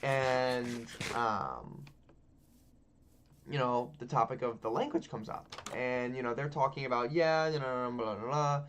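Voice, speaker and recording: A man's voice: medium pitch, fairly even intonation, measured pace, a bit bright, slight background noise. Chatty young guy rambling. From Texas. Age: 20s. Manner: loose and chatty, frequent ums and likes, casual swearing. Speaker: gaming channel host. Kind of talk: casual rambling chat over gameplay.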